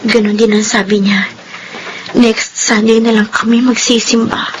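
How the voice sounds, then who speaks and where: A woman's voice: on the high side, little pitch movement, a little slow, slight background noise, natural voice, rough recording. An actress with a Filipino accent playing an earnest, sentimental teenage girl in an emotive radio drama. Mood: hurt, wistful. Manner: emotive, plaintive. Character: earnest, sentimental teenage daughter, a twin sister.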